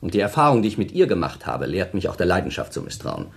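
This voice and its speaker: A man's voice: low voice, very animated, fast talker, gravelly, breathy edge, exaggerated voice. An actor playing a callous, self-pitying man of thirty in a German radio play, haughty, theatrical and dismissive. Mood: bitter, self-justifying. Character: cold, self-pitying thirty-year-old man, callous scornful former lover.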